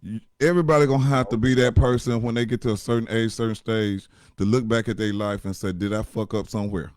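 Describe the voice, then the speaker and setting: Blunt deep-voiced man, profane talk. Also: steady tone, fast, darkish tone, no room sound, studio quality. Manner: blunt, profane, preachy advice. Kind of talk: casual chat.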